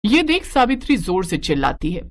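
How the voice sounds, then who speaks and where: A woman narrating a scripted moral story in an expressive storytelling style. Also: medium pitch, moderate pace.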